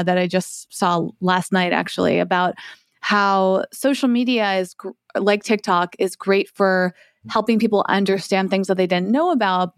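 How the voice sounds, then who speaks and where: A woman's voice: medium pitch, dry recording, studio quality. A woman hosting a podcast, speaking in an engaged way and thinking aloud as she talks. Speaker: podcast host. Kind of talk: conversational interview.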